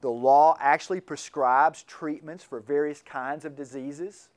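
A man with a slightly higher voice teaches a class in a loose, conversational way. He often doubles back on his words and restarts sentences, and he keeps checking in with the room. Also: fairly even intonation, unhurried, clean tone. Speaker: lecturer on missions and medicine. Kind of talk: classroom lecture.

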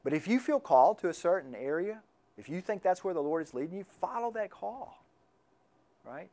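Man, slightly high voice, informal classroom lecturer, talks in restarts and asides. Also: very animated, a little fast, mellow. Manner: conversational, repeats words while restarting sentences. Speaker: lecturer on missions and medicine.

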